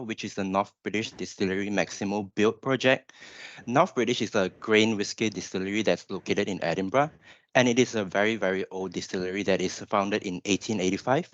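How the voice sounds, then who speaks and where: A man's voice: lowish voice, no room sound, highs cut off. A man in his twenties with a Malaysian accent, giving a webinar presentation in an informal explanatory way. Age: 20s. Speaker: graduate engineer presenter.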